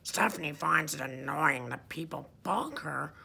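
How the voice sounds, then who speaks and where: A woman's voice: deep voice, animated, unhurried, creaky, bright, forceful tone, husky, exaggerated voice. A woman voicing a wacky, earnest character who discusses children's books in a scholarly way, with some background noise.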